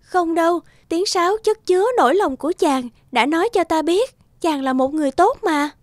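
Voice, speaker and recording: A woman playing a gentle, curious young princess in an animated fairy tale. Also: extremely high pitch, normal speed, no room sound, studio quality. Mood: reassuring.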